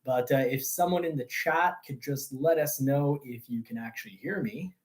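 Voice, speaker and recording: Rambling man. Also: mid-range voice. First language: French. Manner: rambling, halting delivery. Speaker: host of a car news show. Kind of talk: casual livestream chat.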